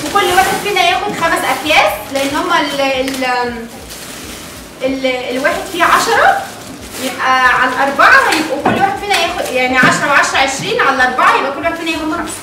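A woman's voice: high-pitched, animated, racing. A young woman with an Egyptian Arabic accent chatting casually and animatedly with children as host of a family vlog, often checking they agree. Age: young adult. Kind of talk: casual excited chat with children. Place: indoor living room. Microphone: camera a few metres away. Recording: echoey room, distant mic, loud noise.

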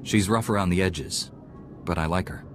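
A man playing a deep-voiced, resolute Jedi. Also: music in the background, close to an everyday voice. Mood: calm. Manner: measured, earnest. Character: calm, resolute adult male Jedi hero. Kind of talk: video game character acting.

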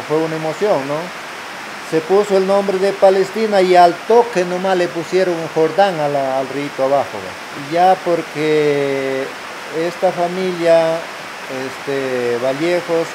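Older man, Peruvian accent, halting oral storyteller, villager testimony, noisy hissing field recording. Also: a little high. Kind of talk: unscripted oral recollection. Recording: hissy field recording, rushing water noise.

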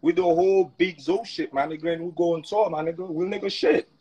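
A man's voice: fairly high pitch, fairly even intonation, quick pace, darkish tone, breathy edge. A man with a Haitian accent, a guest rapper on a video call, hyped up and mixing English with Creole and French. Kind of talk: casual livestream chat. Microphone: phone on a video call.

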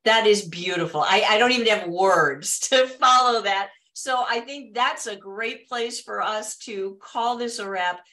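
A woman's voice: mid-range voice, measured pace, hardly any pauses. Encouraging woman hosting a video-call podcast. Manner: warm and encouraging. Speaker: podcast host. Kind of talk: casual interview talk. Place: home office with bookshelves.

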